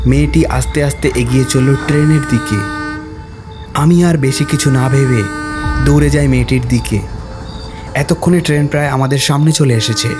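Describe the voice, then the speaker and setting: A man narrating a ghost story in the first person, in a measured and steady manner. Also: medium pitch.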